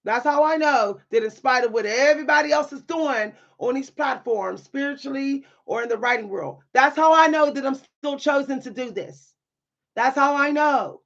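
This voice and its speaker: A woman with a deep, at times loud voice, speaking in a bold, sassy way with dry humor and repeating phrases for emphasis in a motivational monologue. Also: normal speed, gravelly, muffled recording. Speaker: spiritual content creator and writer.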